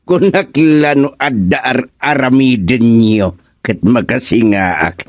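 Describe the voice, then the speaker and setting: A man's voice: medium pitch, extremely slow, no room sound. A man acting a jovial older village father in an Ilocano radio comedy drama, hearty and drawling.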